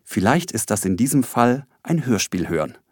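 A man's voice: mid-range voice, very animated, moderate pace, a little mellow, breathy edge, no echo, studio quality. A man hosting a podcast with a scripted announcement. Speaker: podcast host.

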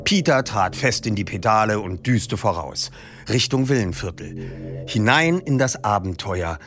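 A man hosting a podcast, reading passages from a book in a lively storytelling way. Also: lowish voice, unhurried, faint music behind. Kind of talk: audiobook reading and podcast presenting.

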